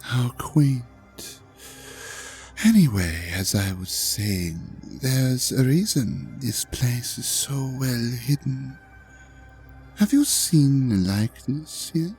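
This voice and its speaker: An ancient dragon god of an audio drama, acted out by a man, vain, suave and quietly menacing. He drawls at a very unhurried pace with a gravelly creak, slipping into breathy murmurs. Faint music plays behind his theatrical, mock-courteous speech. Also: medium pitch, expressive. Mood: smug, amused. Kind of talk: scripted audio drama monologue addressed to the listener.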